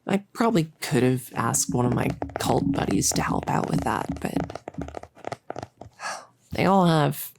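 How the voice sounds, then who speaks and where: A performer voicing a bossy yet gentle cultist experimenter in a roleplay audio drama, with a creaky voice and a coaxing, hesitant, rambling manner. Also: mid-range voice, sing-song, unhurried, long pauses, very noisy. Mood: earnest, explanatory.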